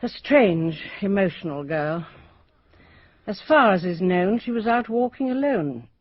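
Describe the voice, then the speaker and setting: A woman playing a brisk, unsentimental headmistress, speaking formally and bluntly in a radio drama. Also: mid-range voice, expressive, no room sound. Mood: measured, formal. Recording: dry, slightly dull radio audio.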